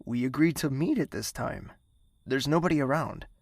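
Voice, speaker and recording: Casting: woman as calm romantic admirer for fantasy audio drama, very low creaky voice, gently teasing. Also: normal speed, dry recording, studio quality, full character voice. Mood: gentle, teasing.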